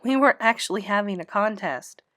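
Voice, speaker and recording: A woman's voice: medium pitch, expressive, a little fast, a bit bright, no echo, clean studio sound, lightly acted. A woman playing a kindly, patient female kindergarten teacher in a children's storybook.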